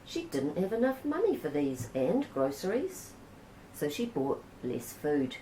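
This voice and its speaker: A woman with a New Zealand accent reading a children's picture book aloud in a gentle, unhurried way. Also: low voice, wildly swooping pitch, a little hiss or hum. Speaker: storybook reader. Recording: roomy echo.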